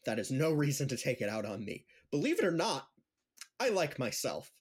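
An actor playing an earnest, self-respecting man in an audio drama, speaking in a measured, husky voice. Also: mid-range voice, sing-song. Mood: hurt, firm. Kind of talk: scripted audio drama acting.

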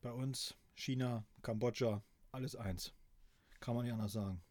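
A middle-aged man from East Germany co-hosting a podcast, reading aloud in a dry, deadpan way and chatting casually. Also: medium pitch, normal speed, dry recording. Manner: dry deadpan delivery, laughing at his own reading. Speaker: podcast co-host.

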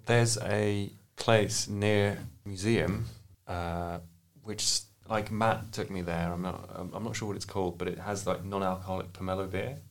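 Low gravelly man, halting. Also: flat delivery. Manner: hesitant, halting, trailing off. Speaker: podcast co-host. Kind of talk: casual group podcast chat.

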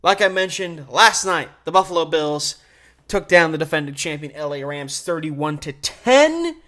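Animated male sports host, swooping pitch. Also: moderate pace. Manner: emphatic, opinionated, stretches words for stress. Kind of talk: solo sports commentary.

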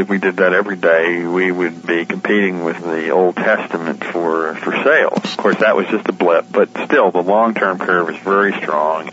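A man's voice: sits low, a bit bright, slight background noise. A man being interviewed on radio as a publisher, explaining things conversationally with informal asides. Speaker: publisher and editor of a shortwave radio guide, interviewee. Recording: dull, lo-fi radio interview line.

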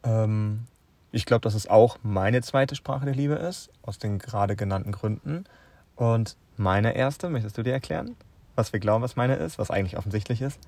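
Ein junger Mann, der als Co-Gastgeber locker und bedächtig erklärend mit seiner Partnerin plaudert. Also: male voice, on the low side, normal speed, darkish tone, no echo. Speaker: podcast co-host. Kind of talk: casual couple chat.

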